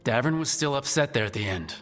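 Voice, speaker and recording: Game hero played by a man: mid-pitched, steady, reassuring team leader, in long reverb. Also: quick pace, close to an everyday voice. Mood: resolute. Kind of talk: video game dialogue acting. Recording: long hall reverb, music underneath.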